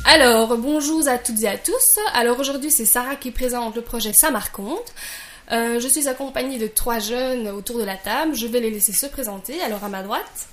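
A woman's voice: a little high, normal speed, music in the background. A woman hosting a youth discussion show, introducing guests and segments. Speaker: radio show host. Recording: roomy echo, faint background noise.

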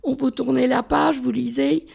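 An older speaker on a discussion panel, talking slowly and deliberately in a reflective way. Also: high-pitched, fairly even intonation, vocal fry, a little mellow, breathy edge.

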